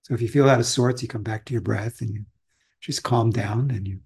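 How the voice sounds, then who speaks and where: A man from Austria, a meditation teacher, giving a calm, reflective and conversational Zen dharma talk. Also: medium pitch, brisk, gravelly, slightly rough.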